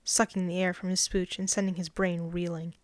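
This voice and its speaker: A woman narrating a written story in a scripted, expressive reading style. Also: on the low side, fairly quick, no echo. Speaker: fan fiction reader.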